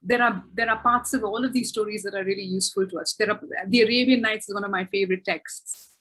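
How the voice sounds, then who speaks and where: A woman's voice: medium pitch, fairly even intonation, fast, fairly bright tone, husky, poor audio. A woman author answering questions in a webinar, thoughtful and self-correcting, with frequent restarts.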